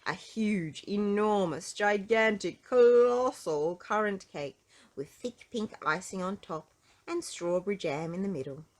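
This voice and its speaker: A woman reading a children's storybook in an animated, playful way, drawing out key words for emphasis. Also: mid-range voice, unhurried. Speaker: storybook reader.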